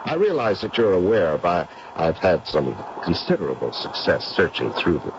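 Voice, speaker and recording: An actor playing an older, genteel paranormal investigator in a radio drama, modest and genial yet grave, with hesitant, measured phrasing. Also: male voice, sits low, huge pitch swings, exaggerated voice. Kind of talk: old-time radio drama acting. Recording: dull, faintly noisy old radio recording.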